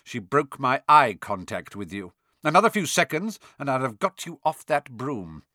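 Man voicing a cold, smug villain, swooping pitch. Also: sing-song, normal speed, sharp tone, no echo, clean studio sound. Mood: coldly boasting. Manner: cool, casual, mocking menace. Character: cold, smug adult male villain, sneering and sinister. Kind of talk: audiobook character acting.

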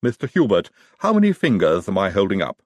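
A man voicing a smug, servile gothic laboratory henchman in an audiobook, with a lisp and a deliberate, deferential manner. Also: deep voice, huge pitch swings, a little mellow, no room sound, clean studio sound, full character voice. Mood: brisk, relieved. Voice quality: lisping.

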